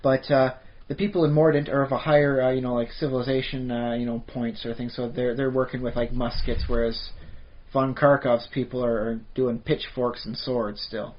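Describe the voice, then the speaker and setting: A man from Canada in mid-range pitch, co-hosting a podcast and rambling through a tabletop-game topic with plenty of fillers and restarts. The audio is dull and lo-fi, like a home podcast setup. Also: flat delivery, fairly quick. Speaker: podcast co-host. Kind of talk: casual chat about tabletop games.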